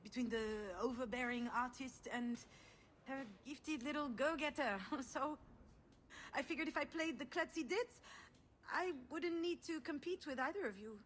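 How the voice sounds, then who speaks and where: A woman's voice: high-pitched, moderate pace, a bit bright, slight background noise. A woman playing a wry, self-deprecating, guilt-ridden sister who confides in a conversational way in a narrative game. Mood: wry, defensive. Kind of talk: introspective first-person narration and dialogue in a narrative game.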